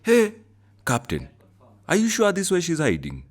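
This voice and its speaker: A man's voice: on the high side, huge pitch swings, normal speed, distant mic. A man playing a dutiful police henchman in a motion comic, deferring to his superior. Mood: wary, obedient. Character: dutiful male police henchman awaiting orders. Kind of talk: dramatic motion comic dialogue.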